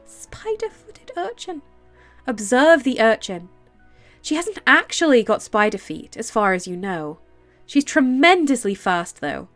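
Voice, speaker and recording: High-voiced stuffy woman streamer. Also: measured pace, soft background music. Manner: excitable, laughs easily, bursts into high delight. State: stuffy nose and sore throat from a cold. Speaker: game streamer. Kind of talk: casual live-stream chat, reading game text aloud.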